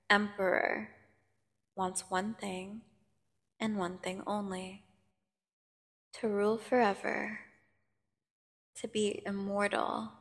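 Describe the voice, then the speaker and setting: Slow, menacing vampire woman, acted, cavernous reverb. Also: mid-range voice, vocal fry. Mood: cold, ominous. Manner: slow, taunting, condescending, theatrical pauses. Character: menacing, seductive female vampire prisoner, mocking and bloodthirsty. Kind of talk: ASMR roleplay audio drama.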